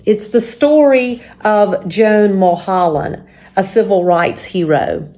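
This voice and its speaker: A woman leading a church story time, introducing and reading a children's book aloud in a measured, explanatory way. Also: medium pitch, dark tone.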